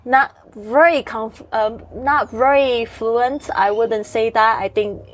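A woman's voice: a little high, steady tone, slow. Chatty woman, native Mandarin speaker. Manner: candid and chatty, with frequent fillers and restarts. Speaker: podcast host. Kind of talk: casual conversational interview.

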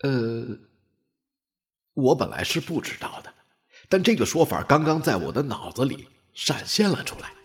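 Male actor for hesitant alien sidekick in sci-fi radio drama, mid-pitched animated wonder. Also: moderate pace. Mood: puzzled wonder. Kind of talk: dramatic radio play acting.

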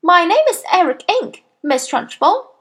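A woman's voice: very high voice, big pitch swings, measured pace, a bit bright, pitched above the performer's own voice, full character voice. A woman voicing a brave little schoolboy in a children's storybook, earnest, innocent and a bit nervous.

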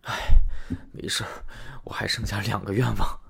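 A man's voice: fairly low pitch, little pitch movement, normal speed, vocal fry, fairly bright tone, slightly rough, pitched below the performer's own voice, heavily acted. A man voicing a greedy rich man who frets and wheedles in a storybook tale. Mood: grumbling. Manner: wheedling, fretful.

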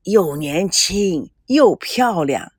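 A woman voicing a kindly, modest elderly grandmother in an audiobook, gentle and self-deprecating. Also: fairly low pitch, expressive, drawn-out words, slightly rough, no echo, clean studio sound, heavily acted.

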